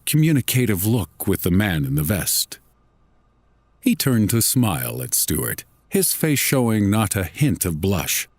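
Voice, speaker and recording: A man reading a scripted horror story in a deliberate, dramatic, literary manner. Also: on the low side, a little mellow, no room sound. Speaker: narrator.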